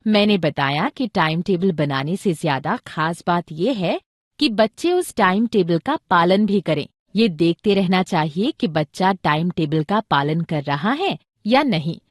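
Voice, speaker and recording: Practical, caring mother, educational drama, very animated instructive delivery, dry close recording. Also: lowish voice, a little slow, no echo. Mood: earnest, instructive. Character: practical, caring Indian mother explaining parenting to her husband. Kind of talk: scripted educational audio drama dialogue.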